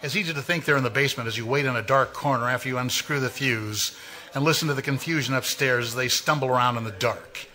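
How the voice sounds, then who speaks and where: A man's voice: mid-range voice, fast, pushed and bright, lightly acted. A man playing an ominous narrator of a 1940s radio mystery, speaking to the listener in an insinuating way. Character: ominous male narrator who knows dark secrets.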